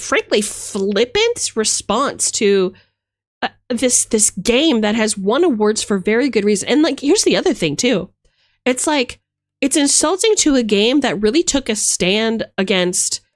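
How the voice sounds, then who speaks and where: A woman streamer talks straight into a close, dry microphone with music playing underneath. Her pitch sits in the middle for a woman. She rants casually and emphatically, with theatrical exasperation and plenty of swearing. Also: dry recording. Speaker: streamer and tabletop game master. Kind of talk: casual opinionated rant to stream viewers.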